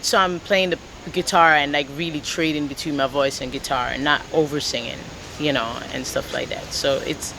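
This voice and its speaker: A woman's voice: deep voice, moderate pace, gravelly, few pauses, a little hiss or hum, low-quality recording. A woman from Jamaica, a singer, chatting casually in an interview with lots of "like" and "you know.". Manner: relaxed, rambling, with many "like" and "you know" fillers. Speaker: singer and guitarist guest.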